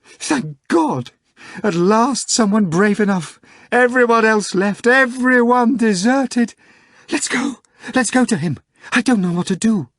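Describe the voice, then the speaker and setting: Casting: man playing a frantic, tearful young secretary, high and shrill, nasal from crying, dry close sound for a storybook. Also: animated, a little slow, no echo. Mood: hysterical. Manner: shrieking, wailing, frantic. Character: weeping hysterical young woman secretary.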